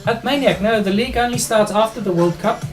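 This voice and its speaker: A man's voice: high voice, brisk, faint music behind. A middle-aged man with a South African accent, casually commentating his own game on a live stream, thinking aloud in an informal, matey way. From South Africa and Australia. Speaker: chess streamer and player.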